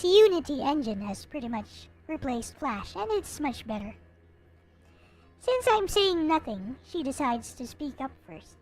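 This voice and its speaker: A woman streaming, chatting casually and reading a visual novel aloud in an expressive manner. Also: high-pitched. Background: music.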